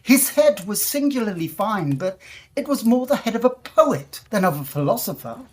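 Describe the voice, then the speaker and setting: A woman playing a confident, educated gentleman traveller, telling the tale formally and deliberately in a period audio drama. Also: medium pitch, big pitch swings, close to an everyday voice. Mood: reflective storytelling. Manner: formal, articulate, deliberate storytelling.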